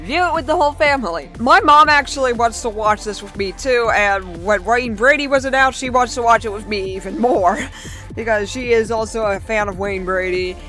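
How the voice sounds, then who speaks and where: Woman, fan channel host, gushing and emphatic, bright tone, background music. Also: fairly high pitch, normal speed. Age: adult. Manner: gushing, excitable, emphatic with repetition. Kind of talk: enthusiastic fan commentary vlog. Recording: music behind the voice.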